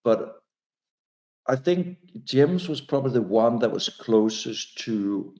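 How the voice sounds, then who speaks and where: A man's voice: mid-range voice, lively intonation, slow, dark tone. A man in his forties, a native Danish speaker, talking about his book in a hesitant, restarting way. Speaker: author and speaker. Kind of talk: casual conversation.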